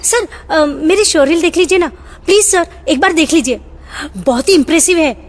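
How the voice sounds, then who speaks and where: A woman's voice: extremely high pitch, animated, normal speed. A woman playing a strange, desperately eager actress of about forty in a horror audio drama, odd-voiced, hesitant and pleading. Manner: hesitant starts, insistent pleading. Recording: long reverb, faint noise.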